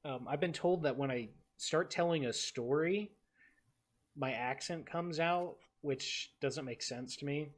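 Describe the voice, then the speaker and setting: Halting young man from the Texas Panhandle. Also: on the high side. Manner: halting, repeats words, many fillers. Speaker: podcast co-host. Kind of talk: casual podcast chat.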